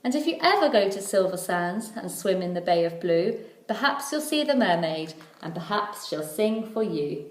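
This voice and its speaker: A woman reading a children's storybook aloud like a teacher, in measured, rhythmic phrases. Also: mid-range voice, very animated. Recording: echoey room.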